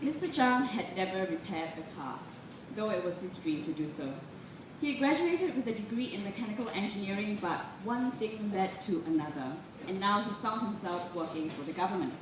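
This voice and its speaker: A woman gives an expressive, deliberate live reading of literary prose and poetry. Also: medium pitch. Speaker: reader at a literary festival. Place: live event room, recorded from a distance. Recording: roomy echo, distant mic, clearly noisy.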